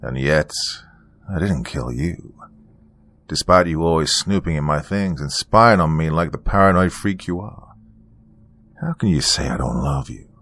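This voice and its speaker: A man voicing a charming but menacing serial killer in a thriller story, deep-voiced, smooth, taunting and boastful. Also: expressive, unhurried, fairly bright tone, exaggerated voice. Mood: cold accusing.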